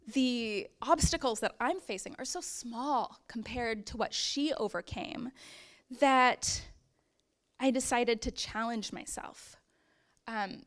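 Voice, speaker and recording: Young woman, conference speaker, earnest and encouraging, slightly high voice. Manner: earnest, encouraging, with hesitant fillers. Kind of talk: prepared personal speech to an audience.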